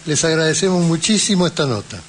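A man's voice: fairly high pitch, lively intonation, fast. A man, interviewing conversationally as a radio host. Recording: clear background ambient noise.

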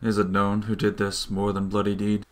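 A man's voice: fairly low pitch, completely flat delivery, moderate pace, slight background noise, natural voice. A man playing a troubled, sober Scottish nobleman in a Shakespearean radio drama.

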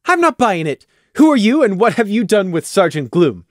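A man voicing a bubbly, pushy female unicorn mayor who chatters brightly and bosses everyone around. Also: high-pitched, sing-song, no echo, studio quality, voice pushed up. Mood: bubbly bossy. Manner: bright, bossy, rapid-fire chatter.

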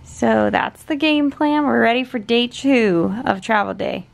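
A woman in her twenties chatting casually and haltingly to camera in an informal, conversational way. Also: a little high, creaky, soft background music. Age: 20s. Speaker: vlogger. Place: hotel room, indoors.

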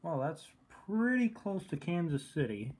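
A man muses to himself in a relaxed, offhand way while he fiddles with a receiver. A handheld phone picks him up in a quiet bedroom, with clear radio static behind. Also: fairly high pitch, normal speed, low-quality recording. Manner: relaxed, thinking aloud while tuning. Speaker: radio hobbyist filming. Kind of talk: casual commentary. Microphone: phone camera held in hand.

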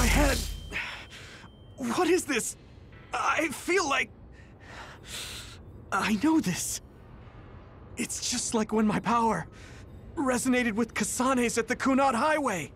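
An actor playing an earnest young psychic soldier in a dramatic video game dub. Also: male voice, very high voice, vocal fry, fairly bright tone, slight background noise, heavily acted. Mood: pained. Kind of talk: English game dub acting. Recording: dramatic game music behind.

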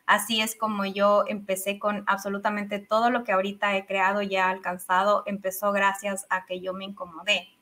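A woman in her thirties from Ecuador with an Ecuadorian Spanish accent, a podcast guest chatting expressively about her coaching work over a video call. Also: mid-range voice. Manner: expressive, enthusiastic, many fillers and restarts. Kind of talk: casual interview chat. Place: home office with a big microphone. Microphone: studio microphone on video call.

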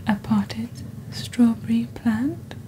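Young female ASMR presenter, breathy near-whisper, very mellow and dark tone, deliberate scripted read, faint ambient noise. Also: fairly high pitch, low-quality recording. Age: young adult. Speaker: ASMR host playing a shopping channel presenter. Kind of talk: soft-spoken ASMR, scripted product presentation.

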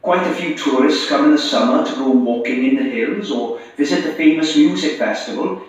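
A man's voice: fairly high pitch, normal speed, lightly acted. A man playing a restless small-town Welsh youth in a scripted radio interview. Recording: muffled echoey playback through classroom speakers.

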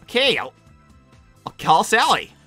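Man voicing a bright, animated young hedgehog hero. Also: high voice, faint music behind, heavily acted. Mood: flustered, evasive. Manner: halting, casual teenage slacker phrasing. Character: brash young male blue cartoon hedgehog hero, flustered and defensive. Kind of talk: improvised in-character livestream Q&A.

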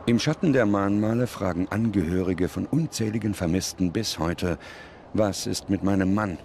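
A man reading scripted documentary narration in a solemn, measured manner with dramatic pauses. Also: low-pitched, slight background noise. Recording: loud music under the voice. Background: music.

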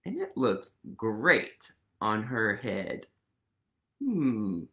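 A man in his thirties reads a picture book to children in a highly animated voice that swings up and down. He takes it very slowly, with playful wonder and a little creak, asking the listeners questions. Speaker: storytime reader. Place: small room at home, indoors.

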